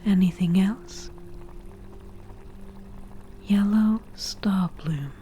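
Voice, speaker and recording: A woman voicing a laid-back witch brewing potions, whispering breathily and matter-of-factly in an unhurried ASMR roleplay. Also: mid-range voice, mellow, slight background noise. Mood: murmuring, matter-of-fact. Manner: unhurried, matter-of-fact, casual.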